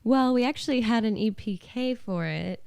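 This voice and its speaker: A woman's voice: a little high, moderate pace, creaky, a little mellow, clear, resonant tone, no echo, studio quality. A woman, a singer-songwriter, chatting casually as a podcast guest with a playful, teasing way of chiming in. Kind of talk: casual chat.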